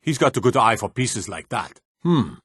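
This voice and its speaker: Weary fantasy soldier for a video game: husky, dry close sound, gruff and measured. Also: male voice, medium pitch, big pitch swings, a bit bright, no room sound. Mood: matter-of-fact. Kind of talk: video game character dialogue.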